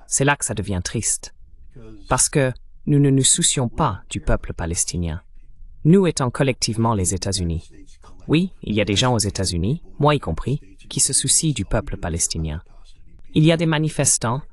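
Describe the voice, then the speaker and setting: A man delivering dubbed political commentary as the translated voice of an interview guest. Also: medium pitch.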